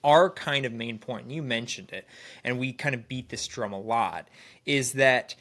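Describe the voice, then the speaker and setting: A man's voice: mid-range voice, normal speed, a bit bright. Nasal, congested man co-hosting a podcast. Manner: earnest, restarts phrases often. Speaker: podcast co-host. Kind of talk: casual podcast chat.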